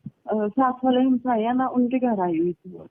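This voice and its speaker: A woman's voice: a little high, moderate pace, dry recording. Woman on a tinny phone line. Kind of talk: casual phone chat, recounting personal stories. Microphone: telephone line. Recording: tinny, band-limited phone call recording.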